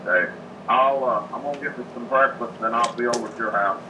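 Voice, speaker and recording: A man's voice: mid-range voice, brisk, pushed and bright. A man chatting casually and informally on a phone call played through a speaker. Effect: phone call through a car radio speaker. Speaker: phone caller. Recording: dull, noisy phone call over speaker.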